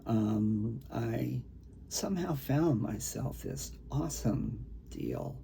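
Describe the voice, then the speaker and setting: A man with a gravelly, creaky voice, a poet talking casually and reading his own work in a halting, conversational way. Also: medium pitch, lively intonation, dark tone, slight background noise. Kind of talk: casual talk and poetry reading.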